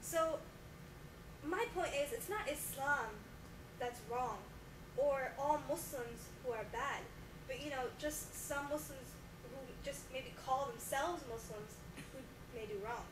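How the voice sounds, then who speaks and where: Hesitant high-voiced woman, distant and echoey. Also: measured pace. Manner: hesitant, many fillers. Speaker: guest scientist. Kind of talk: mock talk show interview. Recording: distant echoey room with loud noise.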